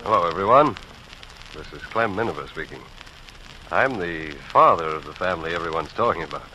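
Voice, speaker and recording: A modest English village father in a 1940s radio monologue. The voice is low and earnest, with a bright, forceful edge. The old recording carries faint noise. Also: animated, a little fast, exaggerated voice, low-quality recording. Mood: earnest, reflective.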